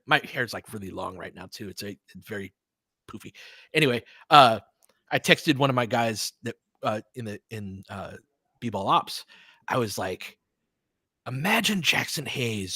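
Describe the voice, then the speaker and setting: A man doing casual fan play-by-play of a game as a host, in an excitable, slangy style with some swearing. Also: medium pitch, dry recording, clean studio sound. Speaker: sports podcast host calling a game.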